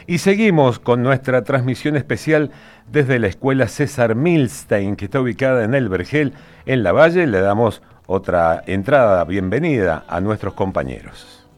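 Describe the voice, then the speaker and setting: Animated Argentine male radio anchor. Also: medium pitch, moderate pace. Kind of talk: live radio presenting.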